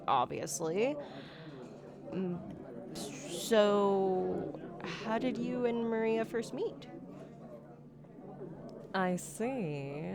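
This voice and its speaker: A woman playing a shy, geeky young silver fox on a blind date, speaking politely and hesitantly in an ASMR roleplay. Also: on the low side. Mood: flustered, evasive. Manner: awkward, flustered, hesitant, politely formal. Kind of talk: ASMR roleplay, one-sided conversational acting.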